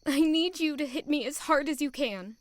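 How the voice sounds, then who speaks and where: A woman's voice: sits high, moderate pace, no room sound, natural voice. A young woman acting an anxious, lovelorn servant girl in an audio drama, earnest and plaintive. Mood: urgent, confessing.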